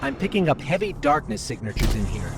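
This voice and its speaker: An actor playing a loyal, wary sci-fi companion in a video game, talking in a casual, skeptical, plain-spoken way. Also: male voice, mid-range voice, big pitch swings, a little fast, noisy background. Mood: alert, businesslike. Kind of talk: scripted video game dialogue. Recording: loud music behind the voice.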